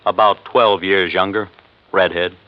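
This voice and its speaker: A man's voice: low voice, steady tone, a little slow. An American actor playing a worried, straightforward insurance man in a 1950s radio drama. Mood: worried, matter-of-fact. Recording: muffled old radio recording.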